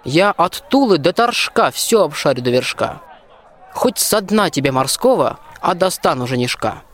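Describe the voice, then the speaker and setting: A man's voice: on the high side, lively intonation, moderate pace, fairly bright tone. A young man playing a bold, plain-spoken soldier in a rhymed folk-tale radio play, with a declamatory folksy delivery. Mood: consoling, boastful. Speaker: student actor in a school radio play.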